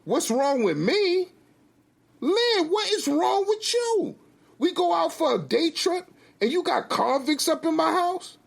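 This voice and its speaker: A man's voice: fairly high pitch, lively intonation, moderate pace, gravelly, husky, voice pushed up, full character voice. A man voicing a hot-headed, indignant husband and father who speaks emphatically and with exasperation. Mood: furious, incredulous.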